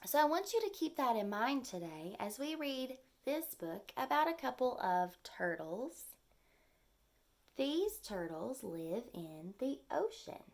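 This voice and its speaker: A woman with a fairly high voice and a touch of vocal fry leads storytime like a teacher. She takes her time, using simple words and clear phrases meant for small children. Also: big pitch swings, slow. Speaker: teacher reading to young children. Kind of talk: storytime lesson with read-aloud and simple explanations.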